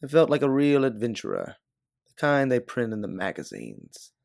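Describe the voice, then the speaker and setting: A man's voice: mid-range voice, wildly swooping pitch, normal speed, vocal fry, a little mellow, no room sound, clean studio sound. A man voicing a weary, candid stranded ex-pilot in a science fiction audiobook. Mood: reminiscing. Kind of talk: audiobook character dialogue.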